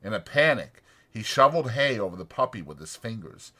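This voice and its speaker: A man's voice: fairly low pitch, big pitch swings, a little fast, sharp tone. A man reading a story as a dramatic audiobook narrator.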